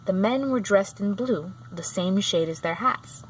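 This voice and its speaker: A woman narrates a children's storybook at a middle pitch. She reads a little haltingly, sometimes repeating a phrase. Clear background noise sits under the voice. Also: rough recording. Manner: halting, often rereads phrases. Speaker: storybook reader. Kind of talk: read-aloud narration of a children's book.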